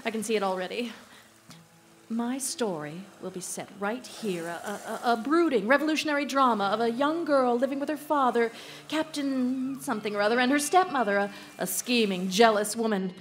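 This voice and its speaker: Radio play: bossy, gushing woman author played by an actress, higher-pitched, condescending, theatrical; slightly noisy live recording. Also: normal speed, natural voice. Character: pompous, bossy, gushing woman romance author who berates her husband. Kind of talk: live radio drama acting. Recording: live stage recording with background noise.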